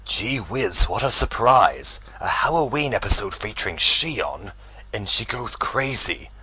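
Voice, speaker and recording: A man's voice: on the low side, big pitch swings, unhurried, bright, forceful tone, exaggerated voice, poor audio. A man voicing a snarky teenage boy who delivers mocking, sarcastic jabs.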